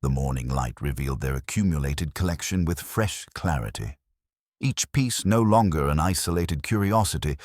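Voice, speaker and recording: A man reading a scripted mystery story as narrator, in a measured, formal, literary manner. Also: very deep voice, a little mellow, dry recording, clean studio sound.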